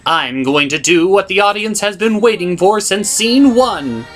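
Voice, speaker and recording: A man's voice: high voice, expressive, measured pace, fairly bright tone, faint music behind. A man voicing a proud, menacing villainess puppet with theatrical, emphatic declarations in a comedy parody. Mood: menacing triumph.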